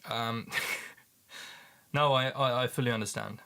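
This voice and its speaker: Halting Dutch-accented man, chatty. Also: medium pitch, steady tone, gravelly, noisy background. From Netherlands. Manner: halting, repeats words, many ums. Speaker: livestream host, paint maker. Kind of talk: casual livestream chat with viewers.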